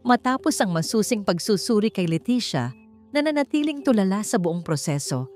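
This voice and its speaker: A woman's voice: medium pitch, expressive, moderate pace. A woman with a Filipino accent reads a scripted romantic drama as its narrator, with music behind her. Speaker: story narrator. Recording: faint music bed under the voice. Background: soft background music.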